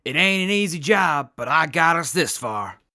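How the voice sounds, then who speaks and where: An actor in an audio drama playing an earnest hepcat military captain who talks in jive beatnik slang. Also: male voice, fairly high pitch, animated, moderate pace, creaky, pushed and bright, dry recording, studio quality, exaggerated voice. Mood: proud, sincere.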